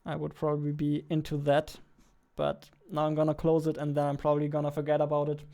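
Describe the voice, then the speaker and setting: A man's voice: a little high, quick pace, gravelly. Playful young man streaming his game. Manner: playful, excitable, talks back to the chat. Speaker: game developer streaming his daily devlog. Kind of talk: casual live-stream chat while playing. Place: small dark room indoors. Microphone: studio mic on a boom arm, wearing headphones.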